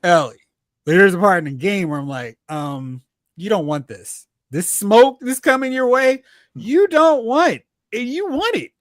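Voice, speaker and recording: A host chatting casually about pop culture, retelling reactions in slangy, animated asides. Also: medium pitch, measured pace, dry recording, studio quality. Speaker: host of a film and TV discussion show.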